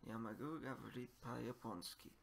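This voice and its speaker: A young man with Japanese as his first language practicing phrases, halting and repeating lines after a translation app. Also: medium pitch, vocal fry, a little hiss or hum. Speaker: language learner. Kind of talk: self-recorded speaking practice.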